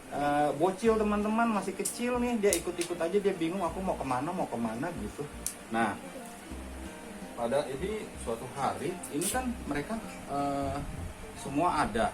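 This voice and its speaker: Dad spinning a kids' story, chatty, lots of uhs, a bit high voice, music behind. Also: male voice, big pitch swings, low-quality recording. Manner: chatty, halting, many fillers, addresses listeners as friends. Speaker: father telling a bedtime-style story to his children. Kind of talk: casual storytelling.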